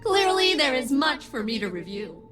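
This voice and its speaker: A woman's voice: sits high, sing-song, moderate pace, a bit bright, faint music behind. A woman playing an eager, centuries-old game AI with precise, formal enthusiasm. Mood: eager, polite. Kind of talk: video game character dialogue.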